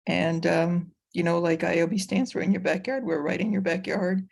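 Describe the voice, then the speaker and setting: A middle-aged woman explaining her community project on a webinar panel, in a measured, halting way with pauses. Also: lowish voice, fairly even intonation, vocal fry, a little mellow, husky. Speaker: community garden project leader.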